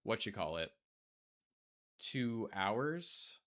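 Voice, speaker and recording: A man's voice: fairly low pitch, very animated, very slow, fairly bright tone. A young man chatting casually on a live stream, rambling with lots of fillers and restarts, blunt and profane, and losing his voice.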